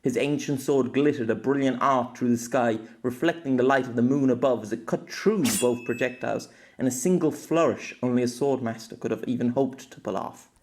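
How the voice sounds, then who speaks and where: A man narrating an action scene in an audiobook in a steady storytelling voice. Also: medium pitch, a little fast, few pauses. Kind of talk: scripted narration.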